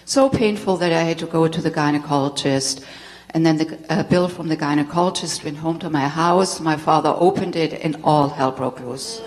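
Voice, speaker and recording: A woman's voice: low voice, normal speed. A middle-aged German-accented woman telling her personal story to an audience as a tantra teacher, candid and laughing often. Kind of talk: personal talk to a live audience. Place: spiritual center hall. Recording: long hall reverb.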